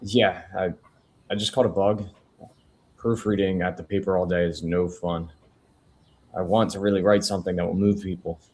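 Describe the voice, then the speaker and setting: A man reads a lonely, worn-down proofreader in a film drama table read. His voice is deep, and he keeps the delivery low-key and conversational, with a wry, questioning edge. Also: steady tone, moderate pace, pauses often, a little mellow, slight background noise, lightly acted. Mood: weary, low-key. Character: Cassidy, weary, lonely male newspaper proofreader, wryly curious.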